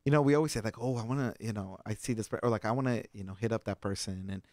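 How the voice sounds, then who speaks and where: Young brazilian guy chatting loose, lots of restarts n laughs, lowish voice, dry sound. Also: rapid-fire, a little mellow, no room sound. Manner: laughs easily, rambling with restarts and fillers. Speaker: guest organizer. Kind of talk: casual interview chat.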